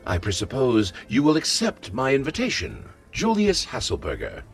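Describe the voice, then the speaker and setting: A man playing a pompous, theatrical lord in a medieval fantasy game, with grandiose, drawn-out, florid delivery. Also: mid-range voice, huge pitch swings, faint music behind. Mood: courtly, formal. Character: pompous, theatrical powerful lord and tournament host.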